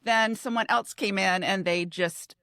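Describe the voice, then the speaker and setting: A woman's voice: medium pitch, fairly even intonation, measured pace, fairly bright tone, no room sound, clean studio sound. A middle-aged woman chatting casually as a podcast co-host. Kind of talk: casual conversational chat.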